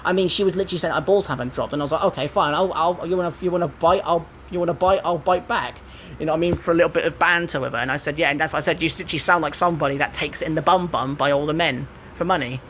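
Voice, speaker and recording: Squeaky-voiced young English man. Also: a bit bright, slight background noise. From England. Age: 20s. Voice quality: high, squeaky voice. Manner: aggrieved, rambling retelling. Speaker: gaming vlogger. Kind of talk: casual commentary.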